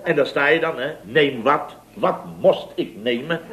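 A man's voice: on the high side, a little slow, lo-fi sound, background noise, close to an everyday voice. An actor playing a flustered, indignant, chatty man in a radio comedy, rambling and repeating himself as he tells his story. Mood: aggrieved, exasperated. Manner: rambling, repetitive storytelling with stammers and rhetorical tags. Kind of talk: radio comedy acting in a dialogue sketch. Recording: radio recording with audience behind.

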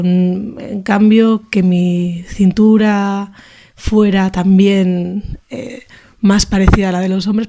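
Woman, early thirties, northern Spanish accent, lowish pitch, soft dark timbre, hesitant, reflective, informal personal talk. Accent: northern Spain. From Basque Country, Spain. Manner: hesitant, reflective, trailing thoughts. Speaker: contributor to a feminist radio show.

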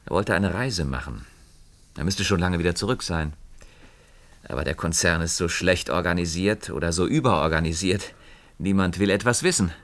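A man's voice: low-pitched, normal speed, a bit bright. An actor in a German science fiction radio play, playing an earnest, inquisitive company man with a thoughtful, probing manner.

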